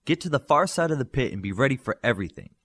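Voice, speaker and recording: A man voicing a stern male coach of young superheroes in an audiobook, with loud, clipped authority. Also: mid-range voice, animated, fast talker, breathy edge, pitched above the performer's own voice. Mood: commanding.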